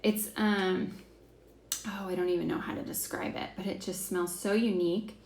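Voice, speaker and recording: Young woman, class host: chatty, enthusiastic, filler-heavy, slight vocal fry, roomy echo. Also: mid-range voice, fairly even intonation, normal speed, a little mellow. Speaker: essential oils class host. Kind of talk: casual teaching class. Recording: echoey room, faint background hiss.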